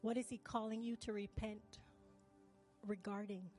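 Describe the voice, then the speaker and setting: Woman from barbados, sermon, asks the congregation questions, long church reverb, mid pitch. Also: steady tone, unhurried, warm tone, faint music behind. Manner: calls for "amen" and "right?", asks questions of the congregation. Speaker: preacher.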